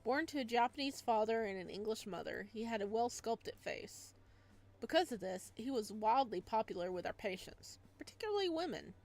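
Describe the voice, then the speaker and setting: A woman's voice: a little high, expressive, moderate pace, a little mellow. A woman hosting a playthrough, reading visual novel narration aloud expressively, with casual asides.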